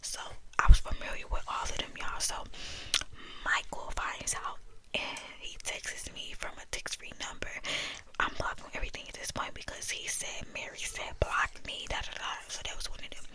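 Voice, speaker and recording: A woman's voice: normal speed, poor audio. A young woman whispering a candid, profane personal story about her school days.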